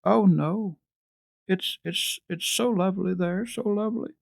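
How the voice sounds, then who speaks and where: Here is a small, homesick girl from a classic storybook, acted out by a male reader. The voice is lifted high and slightly husky, timid and earnest. The words come haltingly, close to tears. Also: no echo, exaggerated voice. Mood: tearful longing. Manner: halting, faint, tearful delivery.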